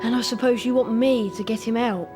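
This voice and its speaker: A performer playing an earnest, plucky young British schoolboy wizard in a video game, animated and slightly put-upon. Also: medium pitch, brisk, over music, close to an everyday voice.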